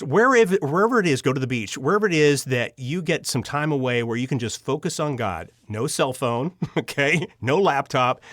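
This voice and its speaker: Man, podcast guest, earnest, encouraging, conversational, medium pitch, dry close sound. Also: no room sound. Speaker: podcast guest, audio drama storyteller. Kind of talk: conversational interview answers. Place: recording studio.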